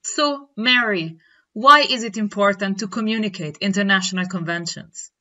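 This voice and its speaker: A woman's voice: medium pitch, sharp tone, dull audio. A woman lecturer interviewing students over a video call, speaking formally. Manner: formal, measured questioning. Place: home room with bookshelf. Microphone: video call webcam.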